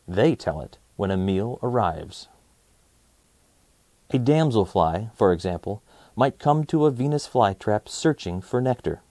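A man in his thirties hosting a children's read-aloud, presenting nonfiction in a deliberate, emphatic storytelling way. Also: on the low side, a little mellow, no echo.

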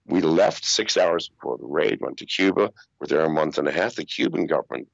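An older man telling stories in an interview over a video call, swearing freely and talking in an animated way. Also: a bass voice, fairly quick, creaky, no echo, muffled recording. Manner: profane, animated storytelling with laughs. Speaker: interview guest. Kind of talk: casual interview storytelling. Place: small room with acoustic foam walls. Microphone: video call wearing over-ear headphones.

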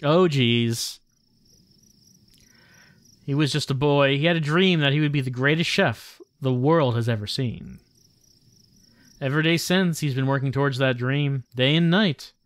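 A man live-streaming a visual novel, reading the text aloud with wry, deadpan asides. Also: medium pitch, unhurried, pauses often, no echo.

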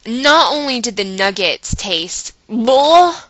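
A woman vlogger telling a personal story to her viewers in a chatty, animated way, with dramatic emphasis and acted-out asides. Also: fairly high pitch, slow pace, dry recording. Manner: chatty, dramatic emphasis, acting out her own inner dialogue.

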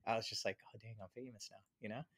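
Casting: man, 30s, low voice, jokey informal podcast chat, dry close-mic sound. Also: steady tone, very fast, fairly bright tone, no room sound. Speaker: podcast co-host. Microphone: close podcast microphone with headphones.

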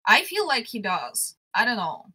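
A young woman co-hosting a casual music reaction chat on a video call, speaking thoughtfully and pausing to find her words. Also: fairly high pitch, wildly swooping pitch, sharp tone, breathy edge. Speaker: co-host. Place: small room at home, video call. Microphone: headset.

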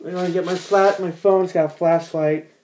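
A man's voice: high voice, steady tone, normal speed, darkish tone, rough recording. A teenage boy in a small room at home, giving a casual how-to in a rambling, plain-spoken way with many fillers and restarts. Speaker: bowler demonstrating ball cleaning.

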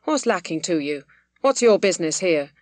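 Woman as a firm, low-voiced village landlord. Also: animated, moderate pace, a little mellow, no room sound, lightly acted. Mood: conciliatory. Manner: conciliatory yet firm, rustic phrasing. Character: blunt, steady male village innkeeper taking charge.

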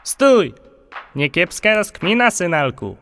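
A man's voice: high voice, sing-song, takes it slowly, a bit bright, faint music behind, heavily acted. A man playing a bossy, jeering stepfather who talks in street slang in a comic audio drama. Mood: scheming.

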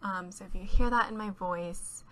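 A woman's voice: medium pitch, normal speed, bright, forceful tone, a little hiss or hum. A teenage girl chatting over a voice-over, rambling and giggly, stuffed up from a cold. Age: teens. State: congested from a cold. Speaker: YouTuber. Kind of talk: casual voice-over chat. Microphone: home voice-over mic.

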